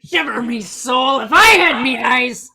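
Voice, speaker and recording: A woman's voice: sits high, animated, a little slow, pushed and bright, exaggerated voice. A woman playing a blind, ranting old male pirate with archaic sailor slang in a radio play reading. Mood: furious, bullying.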